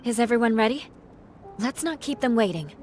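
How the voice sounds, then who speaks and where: A woman's voice: fairly high pitch, expressive, brisk, a little mellow, lightly acted. A woman playing a composed, businesslike young adventurer in a video game. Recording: background music.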